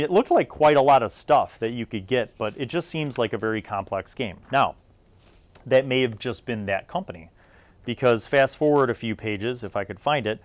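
A man's voice: mid-range voice, dry recording. A middle-aged man giving a casual, unscripted gaming review in a rambling, opinionated, conversational way. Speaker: host of a tabletop gaming review series.

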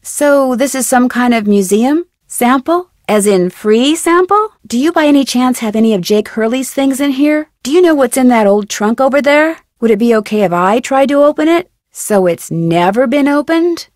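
A woman's voice: sits high, expressive, normal speed, hardly any pauses, a little mellow, no echo, clean studio sound. A woman playing a friendly, curious young American amateur detective in a video game, speaking in a perky, inquisitive, conversational way. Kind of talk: scripted game dialogue, conversational.

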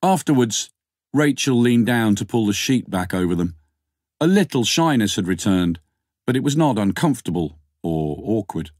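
A man's voice: fairly low pitch, sing-song, moderate pace, darkish tone, dry recording, clean studio sound. A man reading a short story as an audiobook narrator, in scripted narration.

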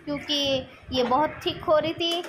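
Woman, high voice, sore throat, chatty home cook explaining steps; noisy kitchen phone recording. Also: steady tone, a little slow. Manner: chatty, explains each step while stirring. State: sore throat, clearing it often. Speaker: cooking vlogger. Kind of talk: casual cooking demonstration. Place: home kitchen at a gas stove.

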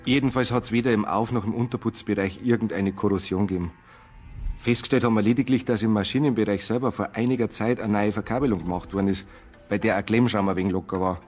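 A man's voice: on the low side, fairly even intonation, fast, a little mellow, soft background music, close to an everyday voice. An actor playing a matter-of-fact male forensic technician with a Bavarian accent in a radio crime drama.

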